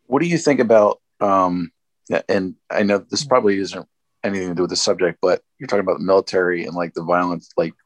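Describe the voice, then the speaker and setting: A man with a very deep voice speaks in a casual group discussion. He is hesitant and lets his thoughts trail off. The recording is dry. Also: quick pace, no echo. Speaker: discussion participant.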